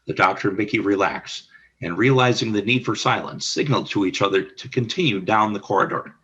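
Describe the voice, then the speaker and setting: A man narrating the stage action of an amateur radio play in an even reading. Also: lowish voice, a little fast, husky, muffled recording.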